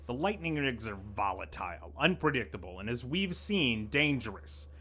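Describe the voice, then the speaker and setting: An actor playing a smug, scheming middle-aged city official who talks in glib, wheedling turns. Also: male voice, mid-range voice, big pitch swings, normal speed, pushed and bright, slight background noise. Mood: businesslike. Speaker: acting director of utilities. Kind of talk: audio drama acting.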